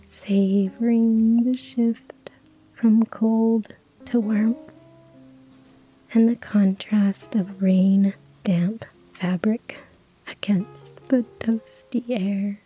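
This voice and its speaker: Woman, ASMR whisper, slow, dark mellow tone, husky breath, faint music behind. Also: medium pitch, fairly even intonation, poor audio. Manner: slow, hushed whisper with soft laughs. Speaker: narrator. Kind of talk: ASMR whispered story narration.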